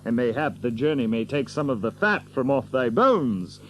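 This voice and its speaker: An actor playing a bold, jovial outlaw leader in an audio drama, teasing with theatrical mock courtesy in archaic English. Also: male voice, medium pitch, sing-song, normal speed, fairly bright tone, a little hiss or hum.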